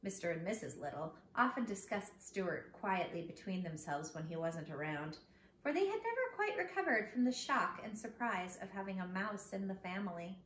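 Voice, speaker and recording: A woman's voice: mid-range voice, animated, normal speed, fairly bright tone. A woman reading a children's storybook aloud expressively, speaking to young listeners as a teacher would. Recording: echoey room with background noise.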